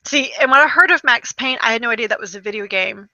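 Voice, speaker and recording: A woman's voice: a little high, fast talker, bright, forceful tone, dry recording, dull audio. A woman co-hosting a video game show in casual chat, running through a list with wry, dismissive asides.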